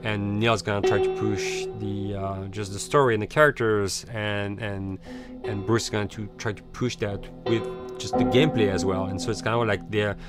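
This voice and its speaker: A man in an interview, talking informally and haltingly, often rephrasing himself. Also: fairly low pitch, level tone. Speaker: game studio executive. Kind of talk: interview talk. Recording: guitar music behind the voice.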